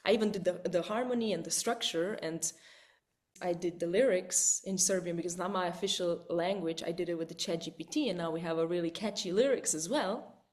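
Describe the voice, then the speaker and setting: A woman who is a vocal coach, presenting a live online webinar in a lively way. She repeats words and keeps checking in with listeners. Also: lowish voice, brisk.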